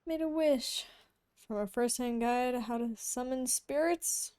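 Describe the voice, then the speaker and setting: A woman's voice: sits high, a little slow, mellow, clear, resonant tone. A woman streamer reacting casually to a video game she is playing.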